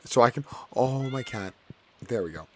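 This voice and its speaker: A man with a hoarse, cracking voice chatting casually while playing a farming game, often repeating his own phrases. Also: mid-range voice, lively intonation, moderate pace, a bit bright, slight background noise. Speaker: gaming streamer. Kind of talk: casual gameplay commentary.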